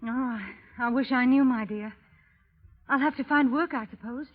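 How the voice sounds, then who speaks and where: A woman's voice: high-pitched, normal speed. An actress playing a weary, sensible widow in an old radio drama, restrained and hesitant in her delivery. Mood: hesitant, hopeful. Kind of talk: 1930s radio drama acting. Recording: tinny, reverberant old-time radio recording.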